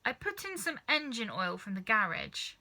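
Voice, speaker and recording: Woman as little storybook farm boy, earnest, hesitant, very bright voice. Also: on the high side, sing-song, moderate pace, exaggerated voice.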